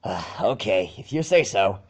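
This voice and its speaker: Man as a low, reluctant young dragon. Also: big pitch swings, moderate pace, slightly rough. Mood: hesitant. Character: young male dragon, reluctant but obliging.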